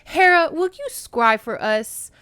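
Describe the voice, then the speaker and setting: A commanding king of the gods, acted by a woman reading a storybook. He is measured, emphatic and defensive, asserting his authority. The recording is dry and close. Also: high voice, expressive, sharp tone, no echo, pitched above the performer's own voice, full character voice.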